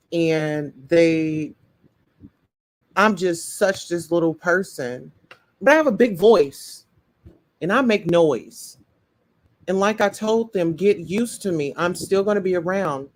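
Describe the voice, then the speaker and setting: A woman's voice: fairly low pitch, expressive, takes it slowly, darkish tone. A woman hosting a live-stream commentary show, outspoken and talking straight to her chat viewers. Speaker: livestream commentary host.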